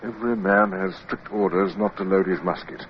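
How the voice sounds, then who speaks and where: Deep-voiced, commanding naval lieutenant. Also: male voice, flat delivery, fairly quick. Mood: steady, wary. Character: steady, plain-spoken British naval lieutenant in command. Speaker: actor in a radio drama. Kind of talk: radio drama acting. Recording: muffled radio recording, faint noise.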